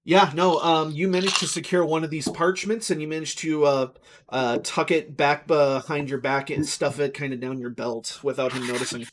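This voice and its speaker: Dungeon master man, easygoing halting narration. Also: fairly high pitch, noisy background. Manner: relaxed, halting, with many fillers. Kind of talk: narrating a tabletop game.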